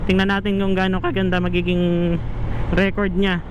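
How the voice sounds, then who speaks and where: A Filipino man chatting casually and haltingly as a vlogger, talking while riding a motorcycle into a helmet intercom microphone. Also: sits high, monotone. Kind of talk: casual vlog talk and phone chat. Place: outdoors on a moving motorcycle. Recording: dull intercom mic, loud traffic and engine noise.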